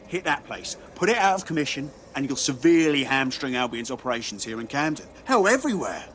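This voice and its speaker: A man's voice: mid-range voice, big pitch swings, fast, a bit bright, a little hiss or hum. A man playing an earnest, defiant resistance informant in a video game, with a matey manner. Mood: urgent. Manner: conspiratorial, keen, matey.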